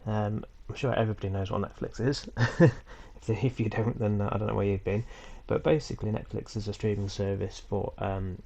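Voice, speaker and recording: A man with a head cold walking viewers through a screen-recorded tutorial at home in a relaxed, rambling way, with frequent ums and small self-corrections. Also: fairly low pitch, gravelly, a little hiss or hum. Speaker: tutorial host. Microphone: computer mic for a screen recording.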